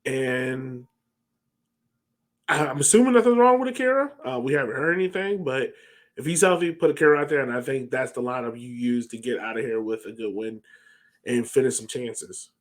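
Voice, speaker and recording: A man's voice: medium pitch, normal speed. An American man, a podcast host, casually discussing sports on a video call. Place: home room, on a video call. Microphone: headset on a video call.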